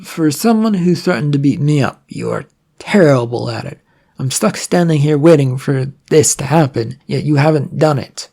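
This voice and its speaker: A man acts out a battered, reluctant young hero in an adventure story. He is wry and sarcastic, rambling and hesitating in a creaky, worn-out voice. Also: fairly high pitch, lively intonation, moderate pace, dark tone, full character voice.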